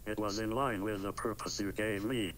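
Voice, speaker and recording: A man voicing a cold, ominous supercomputer in an analog horror series, speaking in flat, clinical statements. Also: on the low side, normal speed, a little hiss or hum, lightly acted. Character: cold, all-knowing supercomputer oracle, ominous and matter-of-fact.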